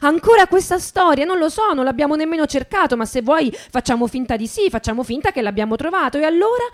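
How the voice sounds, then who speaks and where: Female actor-narrator, high voice, for theatrical radio reading with narration and acted dialogue. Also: expressive, fast talker. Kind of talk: dramatic second-person literary reading with acted dialogue.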